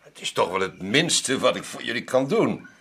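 Radio play: genteel, generous middle-aged gentleman, husky, courteous, lively intonation. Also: medium pitch, moderate pace, full character voice. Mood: delighted, grateful. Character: genteel, generous male art owner, delighted and gracious. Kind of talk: radio drama acting.

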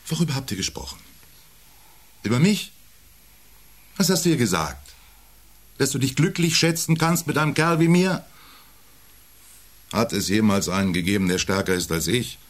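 An actor playing a domineering, self-important husband around forty who needles and belittles in an intimate German radio play. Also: male voice, mid-range voice, sing-song, moderate pace, long pauses. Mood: needling, jealous. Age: 40s. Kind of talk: intimate radio drama dialogue.

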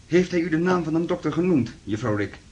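An actor playing a calm, polite police inspector in a Dutch radio play, formal and matter-of-fact. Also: male voice, a little high, fast, highs cut off.

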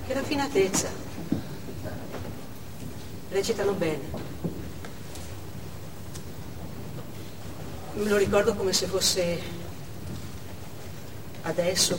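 Woman survivor played by a stage actress, shaken, measured dramatic monologue, distant mic in a noisy reverberant hall. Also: on the low side, close to an everyday voice. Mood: reflective, uneasy. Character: shaken woman survivor recounting a theatre hostage siege. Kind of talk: theatrical monologue, first-person narration on stage. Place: theatre stage, distant microphone. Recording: echoey theatre hall from far off, noisy.

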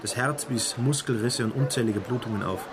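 Man acting earnest investigator, medium-pitched, precise detailed delivery, radio crime drama, crowd in background. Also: fairly quick, natural voice. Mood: matter-of-fact. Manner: precise, recites facts in detail. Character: earnest male investigator briefing a superior on a death.

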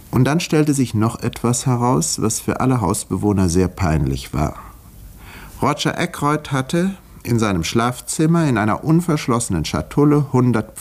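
An observant English country doctor narrating a vintage detective radio play. The voice is fairly low and swings widely in melody, with dry irony and measured storytelling. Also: male voice, full character voice. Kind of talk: 1950s German radio play acting and narration.

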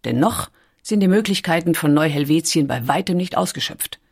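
Female narrator with a very low pitch, measured and dramatic, for scripted historical documentary storytelling. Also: animated, slightly rough.

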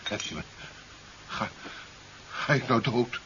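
An actor playing an indignant, easily frightened scientist in a 1970s radio drama, with theatrical, emphatic, formal speech. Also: male voice, medium pitch, slow, pushed and bright. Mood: frightened, weak. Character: indignant male scientist, scornful, then frightened under threat. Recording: dull, hissy 1970s radio recording.

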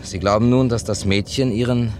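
An actor playing a gentle, courteous amateur sleuth in a German radio crime play, speaking thoughtfully and hesitantly. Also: male voice, on the low side, steady tone, normal speed, background noise, lightly acted. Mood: pensive, doubtful.

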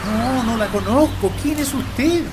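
A woman's voice: fairly high pitch, animated, measured pace, background noise. A woman voicing a man in his seventies with memory loss, anxious and disoriented, in a community radio drama. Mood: bewildered. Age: 70s.

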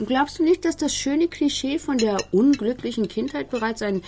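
Animated, sardonic woman lawyer. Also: sits high, normal speed, background noise. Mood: mocking. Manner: teasing, ironic, mocking. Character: sardonic, pragmatic female business lawyer. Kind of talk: naturalistic German radio crime drama acting.

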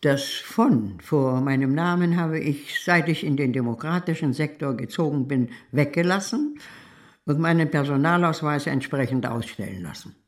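A woman's voice: low voice, moderate pace, natural voice. Radio drama: female actor as headstrong East German party woman, clipped, official, matter-of-fact delivery. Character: stubborn East German communist woman giving official statement. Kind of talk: reading out a formal personnel form.

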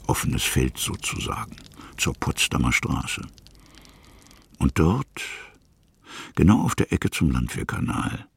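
A man reading literary scripted narration in a measured way, with a gravelly, husky voice and pauses between short phrases. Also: rumbling low, dry recording.

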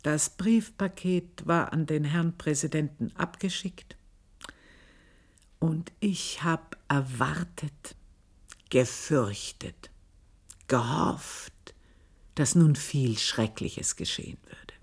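A low-voiced Austrian maid makes a brooding confession in a radio drama. Her voice is husky and breathy and sinks toward whispers. She speaks very slowly, heavy with guilt and vengeful intensity. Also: exaggerated voice. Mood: fervent, brooding. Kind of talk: German radio play monologue.

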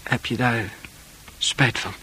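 An actor playing a gentle, concerned male listener in a Dutch radio play, speaking briefly and hesitantly on an old recording with hiss. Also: medium pitch, fairly even intonation, slow pace, slightly rough, exaggerated voice, rough recording. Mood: gently probing.

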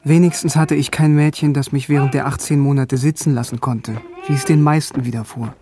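A man's voice: medium pitch, fairly even intonation, brisk, mellow, a little hiss or hum, lightly acted. A man playing a wry, bookish young man who narrates his youth in a radio drama, articulate and self-ironic. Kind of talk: scripted first-person radio drama narration. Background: music.

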